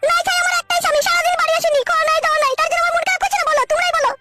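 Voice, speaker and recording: A woman's voice: very high voice, fairly even intonation, very fast, pushed and bright, soft background music. A woman voicing a plaintive, naive young daughter-in-law in a comic cartoon dub, whining.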